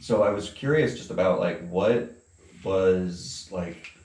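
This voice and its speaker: A deep-voiced man works through interview questions in a casual, hesitant way. He rambles and often stops to find the word. The recording is roomy, with him some distance from the mic. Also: slow pace, darkish tone. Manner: hesitant, rambling, searches for words. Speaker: interviewer, musician. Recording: echoey room, distant mic.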